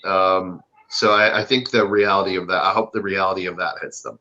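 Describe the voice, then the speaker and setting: A man giving a casual interview answer as a guest, halting as he searches for words on a video call. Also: sits low, steady tone, sharp tone, muffled recording. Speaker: stage play cast member, interview guest.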